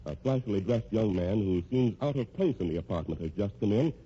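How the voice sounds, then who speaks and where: Casting: male old-time radio announcer, scripted narration, very warm dark tone, expressive range, dull vintage sound. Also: lowish voice, a little fast, slightly rough. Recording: muffled old radio recording, faint music.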